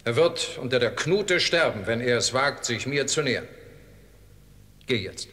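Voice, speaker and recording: An actor playing a stern, commanding grand duke with formal authority in a German audio drama. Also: male voice, mid-range voice, lively intonation, a little slow, fairly bright tone, a little hiss or hum. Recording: long hall reverb.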